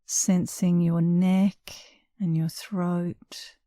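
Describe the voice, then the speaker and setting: A woman meditation instructor guiding a relaxation session in a soothing, unhurried way, leaving long pauses between short phrases. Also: fairly low pitch, level tone, mellow, dry recording. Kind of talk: guided meditation, scripted narration.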